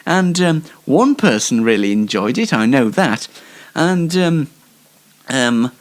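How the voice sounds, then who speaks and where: A man hosting a casual online show, talking in a halting, self-deprecating and wry way. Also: mid-range voice, very animated. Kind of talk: casual live show monologue with light news commentary.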